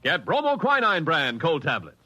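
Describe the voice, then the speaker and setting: A male announcer gives a hard-sell vintage radio advertisement. His voice is high, forceful and very animated, recorded close and dry. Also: moderate pace, no echo. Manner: emphatic. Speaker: commercial announcer.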